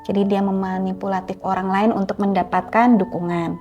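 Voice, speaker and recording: A woman's voice: mid-range voice, level tone, a little fast, a little mellow, lo-fi sound. Middle-aged lady telling her story in an interview, earnest n explaining, careful phrasing, bit of music behind. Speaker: interview guest; author. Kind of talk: personal testimony in an interview.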